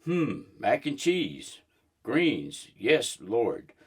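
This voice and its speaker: A man's voice: medium pitch, wildly swooping pitch, very slow, husky, heavily acted. A man voicing a delighted older male dinner guest in a children's storybook, relishing and exclaiming over things. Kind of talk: storybook read-aloud.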